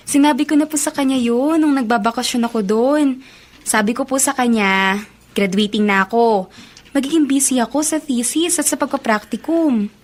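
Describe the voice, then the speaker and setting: A woman's voice: high-pitched, moderate pace. A woman playing an affectionate, dutiful young college student in a Filipino radio drama. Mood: respectful.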